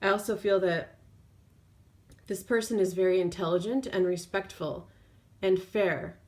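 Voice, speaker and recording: A woman tarot reader speaking off the cuff in an intuitive reading, feeling her way through phrases with drawn-out pauses. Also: mid-range voice, a little mellow.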